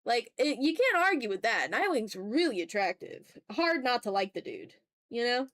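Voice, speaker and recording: A woman's voice: fairly high pitch, sing-song, moderate pace, a bit bright, no echo. Casual woman chatting on an art livestream, dry sound. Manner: informal, muttering asides to self. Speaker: art streamer. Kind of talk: casual livestream chat while drawing.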